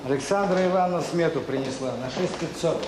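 An actor playing a busy middle-aged enterprise boss, jocular and brisk as he bargains, in a naturalistic radio drama. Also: on the high side, noisy background, lightly acted. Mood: businesslike.

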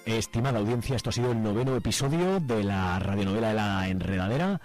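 A man's voice: lowish voice, fast, music in the background. A man with a Spanish accent informally addressing listeners as a radio show host.

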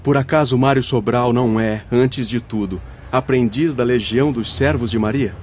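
A male actor plays a comforting, wise spirit guide in a radio play. He has a medium-pitched voice and instructs in formal, measured, patient phrases. Music plays behind him. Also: a little mellow, slight background noise, close to an everyday voice. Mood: firm reassurance. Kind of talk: radio drama acting. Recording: music under the voice. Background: background music.

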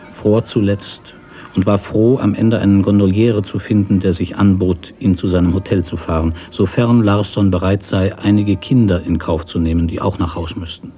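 A man narrating literary prose for a radio drama in a measured storytelling manner. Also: lowish voice, fairly even intonation, mellow.

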